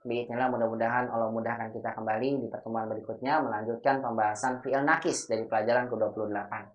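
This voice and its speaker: A man's voice: mid-range voice, fairly even intonation, lo-fi sound. Man teaching in an echoey room. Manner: patient, spells out words slowly. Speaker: Arabic teacher. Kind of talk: recorded Arabic grammar lesson.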